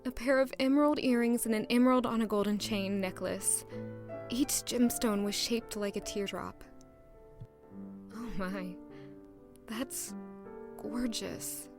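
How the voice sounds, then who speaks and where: An actress playing a gentle, sentimental young lady in an audio drama, polite and soft-spoken, narrating in first person. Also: on the high side, measured pace, pauses often, over music. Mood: polite, admiring.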